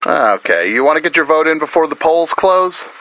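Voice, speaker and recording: A man's voice: on the high side, sing-song, moderate pace, pushed and bright, no room sound, lo-fi sound. A man playing an earnest, wry survivor radio DJ in a post-apocalyptic video game, talking in a dramatic, urgent, conversational way. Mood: wry, exasperated. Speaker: radio broadcaster character. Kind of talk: video game voice acting, radio broadcast monologue.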